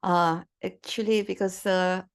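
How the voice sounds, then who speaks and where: A woman's voice: fairly low pitch, steady tone, measured pace, clean tone. Woman in her sixties telling her story. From Romania. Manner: storytelling with fillers and light laughs. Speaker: guest artist. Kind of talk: conversational interview.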